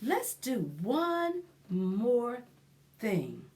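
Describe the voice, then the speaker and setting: Woman, high voice, unhurried delivery, children's storytime host, sing-song, expressive, simple repeated phrases. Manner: addresses young listeners as friends. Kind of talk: children's storytime read-aloud and action rhymes.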